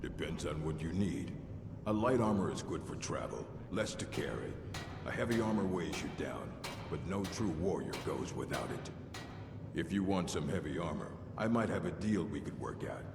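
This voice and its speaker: A man voicing a gruff, blunt orc blacksmith in a fantasy video game, speaking curtly. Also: deep voice, expressive, normal speed. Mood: blunt, businesslike. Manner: curt, blunt. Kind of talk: scripted fantasy game dialogue. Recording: echoing hall with game music behind.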